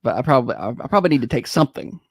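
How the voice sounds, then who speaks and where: A man's voice: medium pitch, sing-song, breathy edge, no echo, studio quality. A man in his thirties co-hosting a morning radio show, joking in casual banter. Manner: quick, joking, slightly self-deprecating. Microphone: studio microphone.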